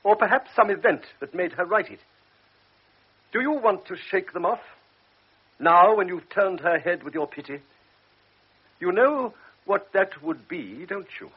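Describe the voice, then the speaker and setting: A stern, husky old doctor. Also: male voice, high voice, lively intonation, a little slow, long pauses, lo-fi sound, heavily acted. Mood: reproachful. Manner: grave, then sharply reproachful. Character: stern older male doctor, blunt and principled.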